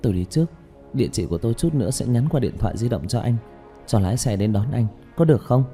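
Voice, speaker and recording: A man's voice: medium pitch, expressive, quick pace, dark tone, faint music behind, close to an everyday voice. A man voicing a polite, hesitant young female singer in an audiobook story, with an earnest manner. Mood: anxious request. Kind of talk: audiobook character dialogue.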